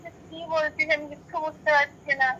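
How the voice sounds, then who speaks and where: A woman's voice: sits high, monotone, fast, pushed and bright, dull audio, a little hiss or hum. A young woman, protesting and pleading in a casual phone conversation. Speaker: prank call recipient, girlfriend.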